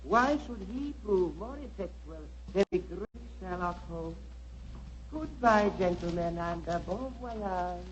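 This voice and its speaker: Smug villain prince, fairly high silky voice, formal, menacing, muffled old radio drama. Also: male voice, expressive, takes it slowly, slight background noise. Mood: silky, mocking. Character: suave, haughty, murderous Indian prince, coldly menacing. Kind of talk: 1940s radio acting.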